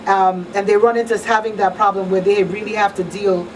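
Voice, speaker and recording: A woman's voice: medium pitch, flat delivery, a little fast, distant mic, low-quality recording. A woman hosting a live radio talk show, chatting with listeners in a small studio. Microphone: studio microphone on boom arm. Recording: off-mic room sound, faint noise.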